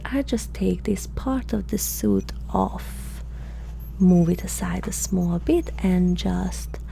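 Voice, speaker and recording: A woman acts out a curious, flirtatious female alien in an ASMR roleplay. Her voice is mellow and breathy, dropping often into whispers. She talks very slowly and hesitantly, over a faint noisy hum. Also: lowish voice, exaggerated voice. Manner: hesitant, halting, softly spoken, often slipping into whispers. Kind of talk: ASMR roleplay, intimate and close.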